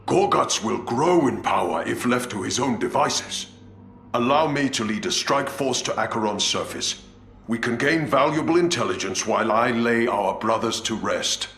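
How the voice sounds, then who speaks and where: A man's voice: mid-range voice, expressive, moderate pace, vocal fry, fairly bright tone. An actor playing a dutiful, resolute male commander of space warriors in a video game, speaking solemnly and formally. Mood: insistent. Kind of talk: video game cutscene acting. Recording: echoey, faint music behind.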